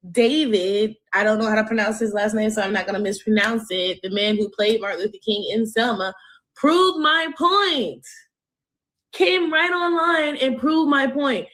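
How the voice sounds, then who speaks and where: A woman's voice: fairly high pitch, fairly bright tone. A woman commentator giving an indignant, emphatic opinion with sarcastic jabs. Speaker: online commentator.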